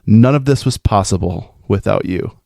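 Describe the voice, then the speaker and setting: A man with a fairly low voice talks in an informal, enthusiastic monologue. He is effusive and playful, with a geeky streak. The sound is dry, with little room around it. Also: normal speed, gravelly, warm tone, dry recording. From American Midwest. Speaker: podcast host sending in a festival recap.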